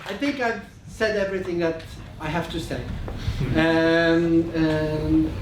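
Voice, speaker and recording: A man's voice: fairly high pitch, fairly even intonation, slow pace, heavy background noise. A man from Norway, hosting a live improv show and explaining the rules to a theatre audience with many fillers and self-aware laughs. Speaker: show host. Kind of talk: live stage introduction to an audience. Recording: echoey hall, miked from far.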